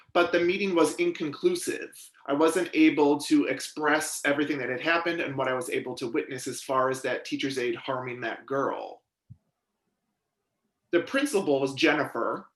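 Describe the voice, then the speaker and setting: A man interprets a witness's testimony aloud in the first person, keeping a measured, even delivery. His voice sits a little high for a man. The hearing room adds a noticeable echo. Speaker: sign language interpreter voicing a deaf witness.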